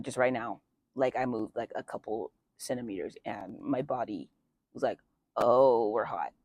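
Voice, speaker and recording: A young man chatting casually outdoors in a light breeze as a vlogger, with lots of fillers and wry asides. His voice is just starting to deepen early on testosterone. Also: vocal fry, a little mellow, no echo. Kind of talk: casual life update chat.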